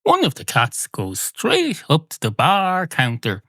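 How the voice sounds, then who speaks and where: A man's voice: on the high side, wildly swooping pitch, bright, forceful tone, no room sound. Man rambling through an absurd comedy monologue, dry recording. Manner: mock-indignant, halting, digressive. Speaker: podcast host.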